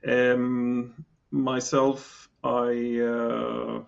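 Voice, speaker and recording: A man's voice: mid-range voice, monotone, extremely slow, vocal fry, dull audio. A middle-aged man from Palestine, a guest in a podcast interview, talking with many fillers and restarts. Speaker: engineering guest.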